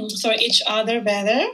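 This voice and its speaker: Slow-paced, high-pitched Filipino woman tutor, encouraging with soft backchannels, on a video call. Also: poor audio. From Philippines. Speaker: online English teacher. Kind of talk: friendly tutoring conversation.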